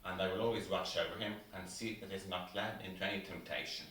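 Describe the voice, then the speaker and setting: Man acting a pompous low-voiced selfish rich fellow. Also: steady tone, fast, fairly bright tone, a little hiss or hum. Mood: self-righteous. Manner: pompous, emphatic. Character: pompous, selfish, self-satisfied male water rat and rich miller. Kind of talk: acted reading of a fairy tale.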